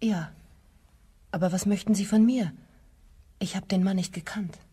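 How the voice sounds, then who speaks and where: Anxious, defensive wife under questioning, radio crime drama. Mellow, breathy-husky, evasive. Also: female voice, medium pitch, normal speed. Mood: guarded. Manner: guarded, evasive, short answers. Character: defensive, anxious married woman hiding an affair. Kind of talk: German crime radio play acting.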